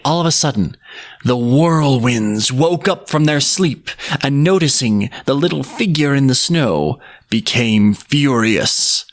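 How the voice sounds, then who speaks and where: A man's voice: mid-range voice, animated, slow, dry recording. A man narrating a scripted folk tale as a podcast storyteller.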